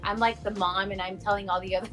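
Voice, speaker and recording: A woman talks casually as a voice actor being interviewed. She is playful and pokes fun at herself, at a middling pitch, with clear music running behind her voice. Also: little pitch movement, quick pace, sharp tone. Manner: playful, self-joking.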